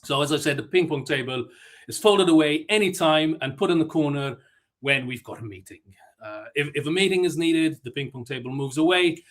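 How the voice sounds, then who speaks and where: A man's voice: a little high, a little fast, fairly bright tone. A man from Malta giving a conference talk, chatty and self-deprecating, with frequent fillers and restarts. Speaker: conference speaker.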